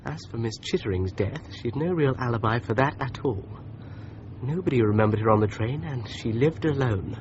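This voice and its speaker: An earnest British amateur sleuth in a 1980s radio play, played by an actor. His medium-pitched voice works things through aloud, on a dullish recording with faint outdoor noise. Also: expressive, normal speed, darkish tone, highs cut off, close to an everyday voice. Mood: pondering.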